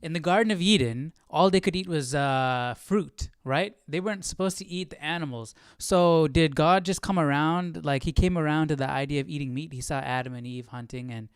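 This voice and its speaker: A man hosting a live study stream in casual chat, reading aloud and thinking out loud with informal, slangy questions. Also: on the high side, normal speed, few pauses, clear, resonant tone, dry recording, studio quality.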